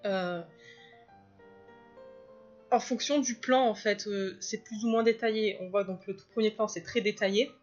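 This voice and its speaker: A woman's voice: medium pitch, steady tone, measured pace, pauses often, dull audio, faint music behind. A woman chatting casually as she talks through her illustration, rambling into asides and laughing often. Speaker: illustrator and video host. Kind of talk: casual drawing commentary.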